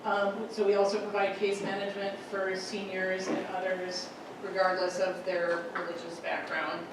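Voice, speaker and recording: A woman's voice: mid-range voice, level tone, moderate pace, noisy background. A woman moderating a panel discussion, speaking conversationally with frequent ums. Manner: conversational, filler-heavy. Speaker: panel moderator and resettlement coordinator. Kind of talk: panel talk. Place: full lecture room. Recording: echoey room from a distant mic.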